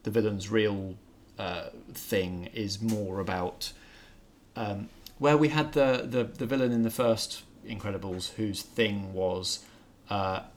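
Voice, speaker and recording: A man's voice: on the low side, takes it slowly, a little hiss or hum. A man hosting a casual film review chat, speaking in a thoughtful, slightly hesitant way as he works through his opinions.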